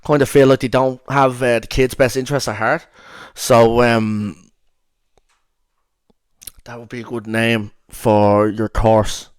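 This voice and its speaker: A young man with a broad Dublin accent hosts a relaxed podcast conversation. He rambles, swears casually and leans on fillers as he talks. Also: mid-range voice, measured pace, clean tone. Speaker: podcast host. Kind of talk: casual chat.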